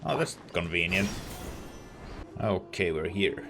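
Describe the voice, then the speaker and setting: A man with a fairly low voice plays a game and comments casually. His reactions are brief, laid-back and offhand, like a let's player talking along. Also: animated, slow pace, gravelly, over music.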